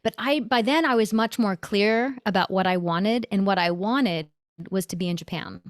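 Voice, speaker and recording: A middle-aged woman, a consultant and author, tells her story as an interview guest. She is animated and laughs easily. Her voice is recorded close and dry, with almost no room sound. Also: mid-range voice, moderate pace, no echo.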